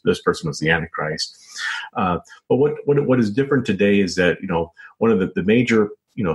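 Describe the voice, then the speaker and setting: Low-pitched man explaining as interview guest. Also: low-quality recording. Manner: halting, repeats words, frequent "you know". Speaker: interview guest, author and journalist.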